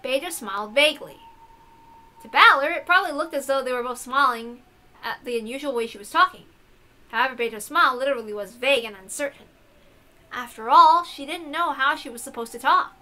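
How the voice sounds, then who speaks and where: A woman narrates a visual novel in a high, bright voice. Her delivery is scripted and expressive, like a story read aloud. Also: brisk.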